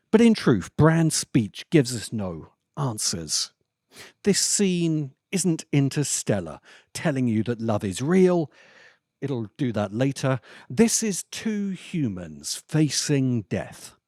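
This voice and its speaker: A man narrating a scripted video essay as its host, speaking deliberately with dramatic pauses and emphasis. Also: medium pitch, darkish tone, no room sound, clean studio sound. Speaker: host of a film commentary channel.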